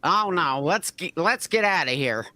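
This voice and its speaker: A woman's voice: on the low side, expressive, a little slow, pushed and bright. A woman playing a worried young male dragon rider in a fanfiction reading. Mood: worried, urgent.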